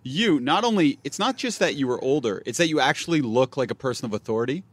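Young man, podcast host, animated pitch, dry close mic, joking. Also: fast talker, no echo. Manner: joking, lively, laughs often. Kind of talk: casual interview.

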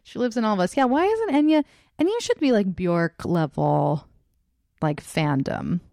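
A woman's voice: medium pitch, expressive, normal speed, vocal fry, mellow, no room sound, studio quality. A woman hosting a podcast who reacts with enthusiasm and laughs easily in casual chat. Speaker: podcast host.

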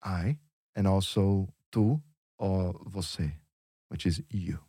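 A man's voice: low-pitched, slow, warm tone, husky, dry recording. Patient male language teacher, dry studio sound. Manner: patient, explains step by step with examples. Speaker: Portuguese teacher. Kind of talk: language lesson dialogue.

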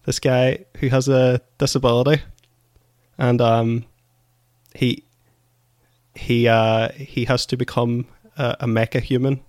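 A man's voice: mid-range voice, level tone, slow pace, darkish tone, no room sound, clean studio sound. A man co-hosting a podcast, chatting and bantering casually with the others. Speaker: podcast co-host.

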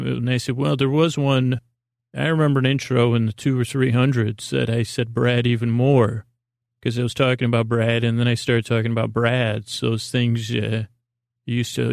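Man, gravelly creaky voice, medium pitch, close dry sound, meandering, repetitive, whimsical podcast host. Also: no echo. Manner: meandering, repetitive, trails off into tangents; whimsical, silly, talks to an imagined listener. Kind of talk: rambling improvised bedtime monologue.

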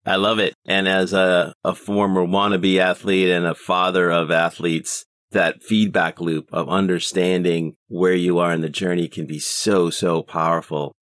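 A man's voice: deep voice, little pitch movement, measured pace, hardly any pauses, fairly bright tone, no room sound, studio quality. A man hosting a podcast, interviewing in a casual, conversational style. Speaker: podcast host.

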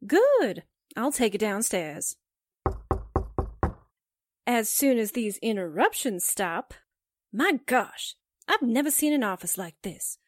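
A woman plays a flustered newspaper sidekick in a 1940s radio play. Her fairly high voice swoops with exasperation, though the words come slowly. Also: sing-song, no echo, clean studio sound, heavily acted. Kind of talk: 1940s radio drama acting.

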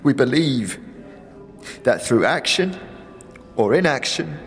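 A man voicing a despairing, muscular half-elven warrior woman in an audio drama, speaking in a bleak, halting, solemn way. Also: mid-range voice, very animated, long reverb tail. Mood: grieving, bleak. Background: music.

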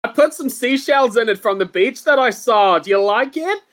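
Man putting on a gushing, animated sorority queen. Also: very high voice, normal speed. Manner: gushing, exclamatory. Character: gushing young female sorority queen, dramatic and easily outraged. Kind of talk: improvised comedy scene.

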